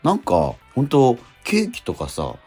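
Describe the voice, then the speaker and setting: A middle-aged man hosting a radio show in casual, animated banter. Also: medium pitch, a little slow, husky, soft background music. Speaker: radio show host and stage actor.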